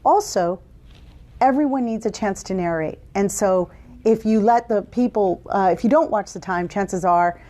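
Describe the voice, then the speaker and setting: A woman facilitator giving instructions to camera from an office, speaking in a warm, encouraging and deliberate way. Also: mid-range voice. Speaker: workshop facilitator.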